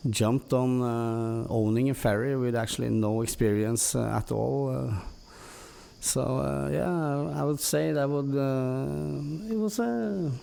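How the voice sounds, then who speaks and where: Gravelly Norwegian man, halting storyteller. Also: medium pitch, warm tone. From Norway. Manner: halting, hesitant delivery with frequent long hesitations. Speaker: podcast guest. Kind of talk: casual personal storytelling.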